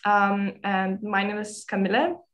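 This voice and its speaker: A woman's voice: mid-range voice, fairly even intonation, measured pace. A nineteen-year-old woman from Lithuania hosts an online chat. She sounds friendly and a little unsure, with frequent ums. Her voice sits in an ordinary middle register, heard through a video call. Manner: friendly, hesitant with many ums. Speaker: podcast host. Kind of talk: casual online group chat.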